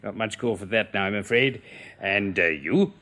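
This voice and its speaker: A man's voice: fairly low pitch, moderate pace, bright, forceful tone. A man acting in a radio drama as a fussy, officious colonel, clipped and punctilious but polite. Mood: fussy, brisk.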